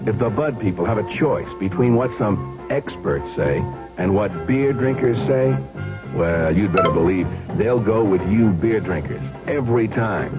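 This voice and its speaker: Low-pitched male radio ad announcer. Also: animated, a little slow. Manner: folksy, persuasive. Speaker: commercial announcer. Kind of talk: 1970s radio advertisement read. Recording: muffled old radio recording, music behind.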